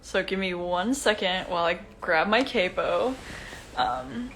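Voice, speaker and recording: A young woman from Iceland chatting casually on a livestream, soft-spoken and rambling, talking into a phone. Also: fairly low pitch, measured pace, slight background noise, rough recording. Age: young adult. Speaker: singer-songwriter on a livestream. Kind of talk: casual chat to viewers.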